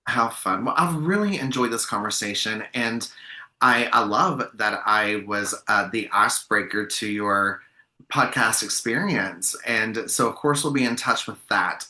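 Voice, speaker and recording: A man's voice: lowish voice, a little slow, sharp tone, roomy sound. A man hosting a podcast, chatting enthusiastically and rambling with restarts as he interviews a guest. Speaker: podcast host. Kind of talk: casual interview chat.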